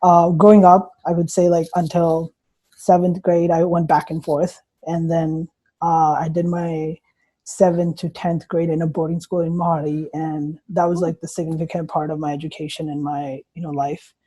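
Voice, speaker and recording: A young man who grew up in Punjab, answering interview questions on a video call in a hesitant, halting way with many uhs and restarts. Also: fairly high pitch, little pitch movement, mellow, rough recording. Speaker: guest poet. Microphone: computer mic on video call.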